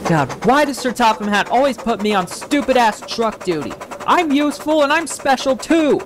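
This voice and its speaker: A man's voice: extremely high pitch, very animated, normal speed, background noise. A man voicing a vain, self-important cartoon engine who complains indignantly.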